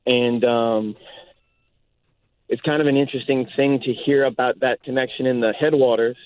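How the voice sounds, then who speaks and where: Cajun-French-speaking caller on a tinny phone line. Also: male voice, mid-range voice, fairly even intonation, moderate pace, dry recording. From South Louisiana. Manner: rambling, with many ums and uhs. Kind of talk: call-in radio chat.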